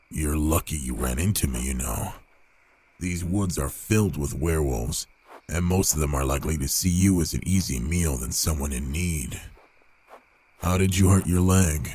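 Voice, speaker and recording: A man plays a reclusive male werewolf for an ASMR roleplay. His voice is extremely deep and he takes his time, pausing often between phrases. He comes across as gruff yet reluctantly caring and protective. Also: darkish tone, heavily acted. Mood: reluctantly helpful. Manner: slow, halting, murmured delivery with pauses.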